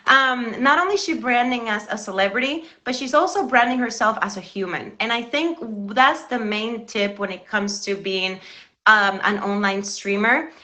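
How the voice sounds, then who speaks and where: Cuban-born woman on her live stream, bright voice, a bit high, pep-talking her viewers. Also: normal speed. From Cuba. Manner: earnest, encouraging, addresses viewers directly. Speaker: live stream show host. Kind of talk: motivational live-stream talk.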